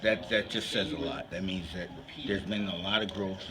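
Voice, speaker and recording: A middle-aged man giving casual, halting, reflective interview answers as a festival founder. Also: low-pitched, fairly even intonation. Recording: loud crowd chatter behind the voice.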